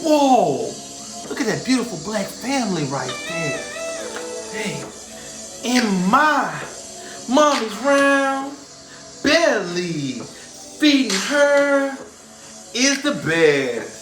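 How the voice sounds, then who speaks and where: A man's voice: very high voice, sing-song, extremely slow, long pauses, fairly bright tone. A man hosting a children's storytime in a hyped, sing-song, call-and-response way, reading rhythmically to a beat. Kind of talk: rhythmic read-aloud over hip hop instrumentals. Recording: roomy echo with music. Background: hip hop instrumental music.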